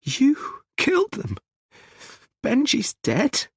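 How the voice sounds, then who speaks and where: A man voicing a distraught middle-aged mother in a much higher voice. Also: wildly swooping pitch, extremely slow, breathy edge, dry recording, heavily acted. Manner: high-pitched and pleading.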